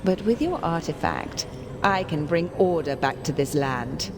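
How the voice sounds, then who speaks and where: A woman's voice: low-pitched, animated, measured pace. An actress playing a haughty, sinister, scheming witch in a video game, with theatrical menace. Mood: coldly threatening. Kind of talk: video game character acting. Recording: game music behind.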